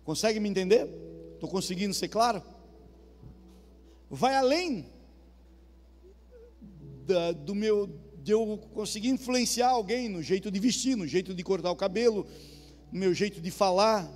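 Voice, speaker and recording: Southern Brazilian male pastor, high voice, chatty preaching in a reverberant hall. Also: unhurried, long pauses. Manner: conversational, asks the congregation questions. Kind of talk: sermon. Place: church hall. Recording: reverberant church hall with soft music.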